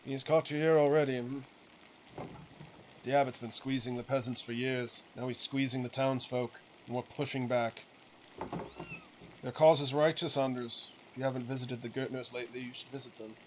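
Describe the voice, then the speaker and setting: A man's voice: medium pitch, fairly even intonation, normal speed, lightly acted. A man voicing a weary, resentful printer and father from a video game, curt, firm and reproachful, with a righteous streak. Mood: earnest, righteous. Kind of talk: acted reading of video game dialogue. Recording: clearly noisy game ambience behind voice.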